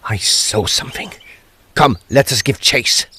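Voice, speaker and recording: A man playing a decisive, inquisitive gentleman detective of the occult in a period Gothic audio drama, with grand theatrical delivery. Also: medium pitch, slightly rough. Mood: urgent. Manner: grand, deliberate, theatrical exclamations.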